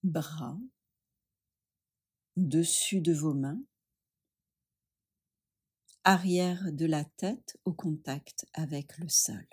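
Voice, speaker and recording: A woman leading a guided yoga nidra meditation, speaking gently and soothingly with long pauses. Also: deep voice, slightly rough.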